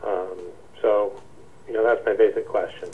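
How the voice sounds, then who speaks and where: A man calling in by phone to ask questions, speaking in a measured, hesitant way. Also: fairly low pitch, steady tone. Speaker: caller. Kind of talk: asking questions on a call-in. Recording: phone call with faint noise.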